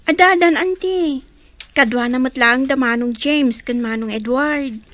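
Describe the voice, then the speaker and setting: A woman's voice: high voice, a little slow, a bit bright. A woman playing a polite young village student in an Ilocano comedy radio drama, with a Filipino accent. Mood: polite, informative.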